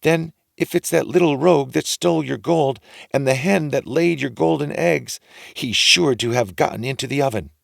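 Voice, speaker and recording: A man's voice: mid-range voice, normal speed, vocal fry, dry recording, studio quality, pitched above the performer's own voice. A man voicing an ogre's fussy, chatty, doting older wife with a coaxing, chiding sing-song in a storybook reading.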